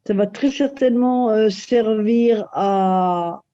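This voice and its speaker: Very dark mellow voice, hesitant, lots of fillers, dull lo-fi sound. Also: medium pitch, slow pace. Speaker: beneficiary speaker. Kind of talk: conference talk.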